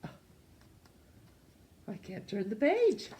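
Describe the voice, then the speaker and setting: Lady reading a kids' book really slow, soft and expressive, mid pitch. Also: slight background noise. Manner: gentle, slow and expressive, voicing characters. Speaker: teacher reading aloud. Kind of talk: children's storytime read-aloud.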